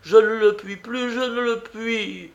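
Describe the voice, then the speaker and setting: An agitated old woman, acted out by a male reader, pitched well up with a gravelly edge. She is a blunt, loving storybook aunt who scolds and fusses, repeating her words with emphasis. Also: steady tone, slow pace, dull audio, heavily acted. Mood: distraught, indignant. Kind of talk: dramatised audiobook reading.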